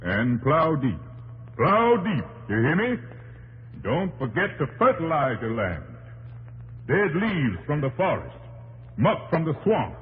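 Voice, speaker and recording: A man's voice: a little high, very animated, slow pace, fairly bright tone, big-room sound, full character voice, low-quality recording. An actor playing an earnest, insistent male professor and inventor in 1950s radio drama, speaking in an emphatic, instructing way. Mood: stern, urging. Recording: 1950s radio broadcast, faint noise.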